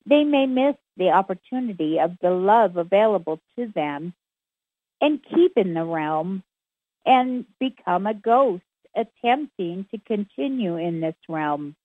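A woman channeling a message in a measured, formal way of speaking. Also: mid-range voice, expressive, dry recording. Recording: tinny dry phone line.

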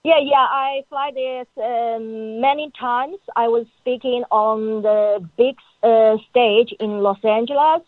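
A woman, a guest on the show, answering in halting speech with many fillers and grammar. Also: fairly high pitch, no echo. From China. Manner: halting, frequent uh fillers, non-native grammar. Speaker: guest, PhD in psychology. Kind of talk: phone-in interview answers. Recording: tinny phone line, dry.

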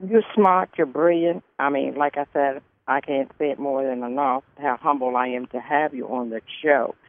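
A man's voice: mid-range voice, fairly even intonation, normal speed. A man hosting a talk show and interviewing a guest, with frequent brief words of agreement. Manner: affirming, lots of brief acknowledgements. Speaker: radio show host. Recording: dry, tinny phone-like line.

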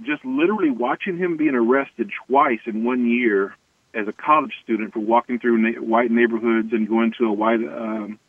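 An American man from Tennessee telling his personal story earnestly and haltingly as an interview guest. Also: fairly low pitch, no room sound. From Tennessee, United States. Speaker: interview guest, anti-racism activist. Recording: tinny band-limited phone-like line.

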